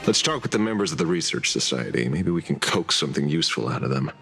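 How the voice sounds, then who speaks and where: An actor voicing a sardonic, teasing detective with a vigilante streak in a video game story. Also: male voice, a bass voice, sing-song, fast, gravelly, sharp tone, faint music behind, full character voice. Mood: brooding, resolute. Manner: wry, theatrical, teasing.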